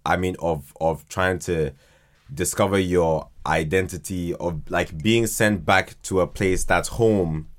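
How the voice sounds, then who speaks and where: A man's voice: sits low, slow. A man from Ghana chatting casually as a podcast guest, modest and hesitant, often repeating his words. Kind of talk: casual interview chat.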